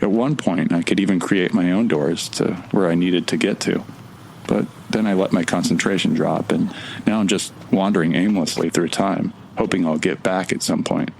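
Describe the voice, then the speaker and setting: An actor in an audio drama playing a tired, irritable, lost time traveler, muttering dryly and sarcastically in a naturalistic way. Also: male voice, deep voice, fairly even intonation, moderate pace, gravelly, darkish tone, a little hiss or hum, rough recording. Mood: weary, wistful.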